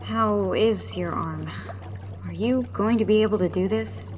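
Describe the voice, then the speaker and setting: A woman's voice: mid-range voice, a little mellow, a little hiss or hum, close to an everyday voice. Brusque, edgy young rebel woman, a woman acting. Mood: dry. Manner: brusque, quick to panic. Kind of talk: audio drama acting.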